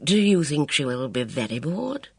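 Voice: medium pitch, sing-song, gravelly, breathy edge, no echo, studio quality, heavily acted. A reader voicing a hesitant, kindly older military man who stammers and halts between words. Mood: flustered. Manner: stammering, halting, full of fillers.

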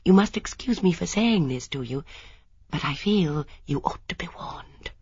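A woman voicing an elderly, shrewd, genteel spinster who speaks quietly and measuredly. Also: expressive, husky, no echo, highs cut off, full character voice. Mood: hushed. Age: older. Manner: quiet, measured, low-voiced.

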